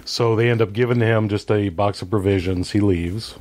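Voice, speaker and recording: A man talking casually as a podcast guest, explaining things in a halting way and correcting himself as he goes. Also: on the low side, fairly even intonation, a little mellow. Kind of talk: casual conversational movie discussion, retelling a story.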